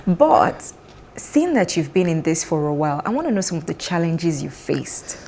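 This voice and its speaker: A woman's voice: low voice, very animated, moderate pace, darkish tone, slight background noise. A woman hosting a business talk show, asking questions in a casual, encouraging way. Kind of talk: interviewing a guest.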